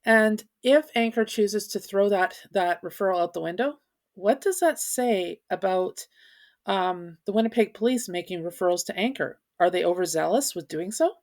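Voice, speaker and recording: A woman's voice: mid-range voice, moderate pace, a little mellow. A woman giving informal, argumentative commentary, speaking emphatically and posing rhetorical questions. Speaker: video commentator, parent advocate.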